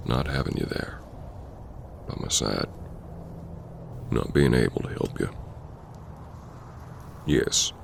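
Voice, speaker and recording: A man playing a stern, hurt Old West bounty hunter confronting an old flame in an ASMR roleplay, brooding and halting yet tender. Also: slight background noise, heavily acted. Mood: earnest, tender. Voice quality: very deep, gravelly drawling voice. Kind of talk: ASMR roleplay acting, spoken to the listener.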